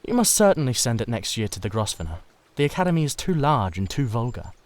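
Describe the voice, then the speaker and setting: A man's voice: medium pitch, big pitch swings, quick pace, no room sound, lightly acted. A languid aristocrat acted by a male reader holds forth in a classic novel reading. He is witty and vain, with a pompous delivery that stretches out the emphatic words. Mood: languid, opinionated.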